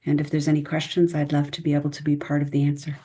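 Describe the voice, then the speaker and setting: Low, mellow-voiced woman nurse. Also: little pitch movement, fast talker. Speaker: surgical nurse. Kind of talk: brief self-introduction.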